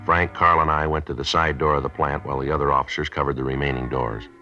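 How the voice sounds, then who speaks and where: An actor playing a terse, matter-of-fact police sergeant in an old-time American radio crime drama. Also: male voice, a bass voice, steady tone, fast talker. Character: terse, businesslike male police sergeant, posing as buyer. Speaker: police detective narrator. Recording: dull vintage radio recording, music behind.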